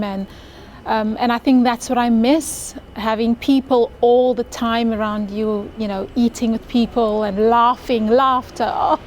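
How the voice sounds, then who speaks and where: A woman's voice: fairly high pitch, a little mellow, a little hiss or hum. A woman from South Africa, Afrikaans her first language, with a South African accent, chatting in an interview and laughing easily. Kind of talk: recorded interview answers.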